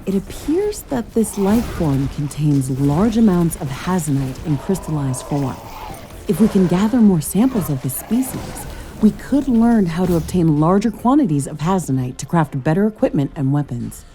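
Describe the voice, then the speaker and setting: A woman playing an eager scientist-commando in a mech suit, speaking crisp, confident, analytical video game dialogue. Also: lowish voice, big pitch swings, moderate pace, noisy background. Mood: focused, keen. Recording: music bed behind the voice.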